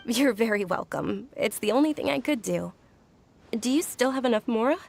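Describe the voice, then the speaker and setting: Gentle, high-voiced young noblewoman. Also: lively intonation, normal speed, gravelly, a little hiss or hum. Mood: polite. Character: gentle, gracious young noblewoman, modest and devoted. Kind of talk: video game voice acting.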